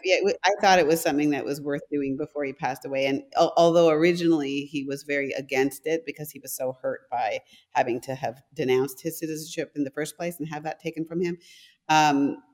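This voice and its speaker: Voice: medium pitch, fairly even intonation, brisk. A speaker in their fifties, raised in Canada with German as a first language, talking directly through long stories with many restarts as an interview guest. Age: 50s. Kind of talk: casual interview talk.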